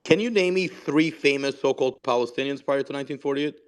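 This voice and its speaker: A man's voice: mid-range voice, quick pace, clear, resonant tone. A man arguing defensively in a heated live online debate, pressing his points by repeating himself. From Israel. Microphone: online voice chat mic.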